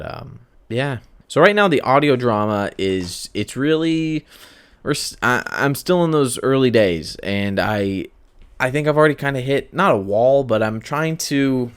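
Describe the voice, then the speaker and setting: A man hosting a podcast alone, talking casually and candidly in a halting, self-deprecating ramble that circles back on itself. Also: lowish voice, smooth. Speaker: podcast host. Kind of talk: unscripted solo podcast monologue, casual chat.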